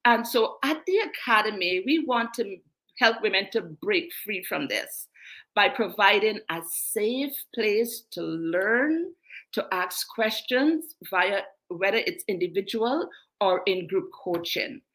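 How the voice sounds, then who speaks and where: A woman's voice: medium pitch, lively intonation, takes it slowly, a bit bright, poor audio. A woman from Guyana, born in England, hosting and presenting to an online group in an emphatic way, repeating key phrases. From born in England, raised in Guyana. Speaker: host, menstrual wellness coach.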